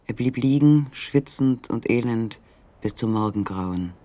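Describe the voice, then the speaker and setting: A man narrating a literary radio play in a measured, sober manner. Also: mid-range voice, warm tone.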